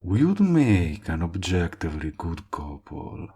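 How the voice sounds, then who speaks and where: A man's voice: deep voice, big pitch swings, a little slow, warm tone, breathy edge, exaggerated voice. A man playing a cocky, lovestruck villain in an audio roleplay, teasing and coaxing the listener he is wooing. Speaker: voice actor. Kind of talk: intimate audio roleplay acting addressed to the listener.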